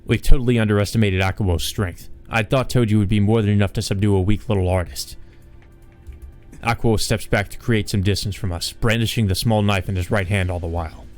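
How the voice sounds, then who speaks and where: A man's voice: lowish voice, steady tone, fairly quick, a little mellow, soft background music. A man reading a visual novel aloud as a dramatic narrator for a let's play.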